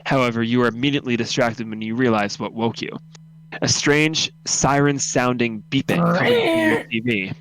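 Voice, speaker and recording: A man's voice: mid-range voice, sing-song, normal speed, fairly bright tone, rough recording. A man reading scripted second-person story narration for a radio drama.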